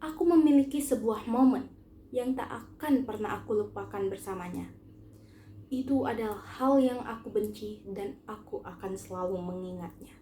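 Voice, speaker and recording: A teenage girl, a student reading a short story aloud in an expressive, earnest first-person style. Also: medium pitch, moderate pace, reverberant, a little hiss or hum. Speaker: student narrator. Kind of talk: scripted short story narration, read aloud.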